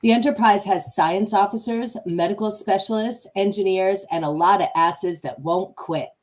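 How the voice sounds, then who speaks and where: A woman's voice: medium pitch, normal speed, natural voice. A woman playing a calculating male starship officer in an amateur podcast radio play, with theatrical delivery. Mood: conspiratorial. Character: male starship first officer, smooth, calculating military man.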